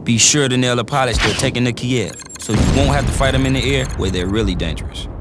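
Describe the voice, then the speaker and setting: A man's voice: medium pitch, fast, heavy background noise, natural voice. An actor playing a casual, slangy male tactical adviser who trades wisecracks while giving advice in a game cutscene. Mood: wry. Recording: music bed under the voice.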